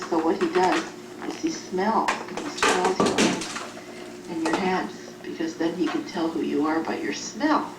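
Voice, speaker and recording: A woman talking as if in an audio letter to a child, explaining things in simple phrases, recorded on cassette. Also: fairly low pitch. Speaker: group home care worker. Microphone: cassette recorder at a distance. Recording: roomy, noisy cassette tape from a distance.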